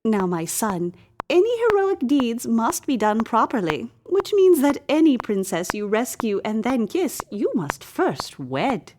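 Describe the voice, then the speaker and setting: A woman's regal queen mother, imperious, doting, stately drawn-out words, storybook grandeur, clear background noise. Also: fairly high pitch, very animated.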